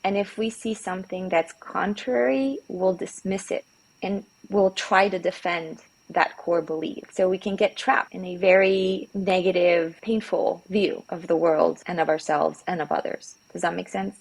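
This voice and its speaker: Low gravelly-voiced woman guest. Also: steady tone, unhurried, low-quality recording. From California. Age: middle-aged. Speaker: guest, behavior analyst. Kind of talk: casual interview, explaining.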